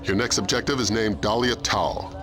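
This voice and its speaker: An actor playing a brisk, matter-of-fact mission handler in a video game cutscene. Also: male voice, fairly low pitch, music in the background, close to an everyday voice. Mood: brisk, wry.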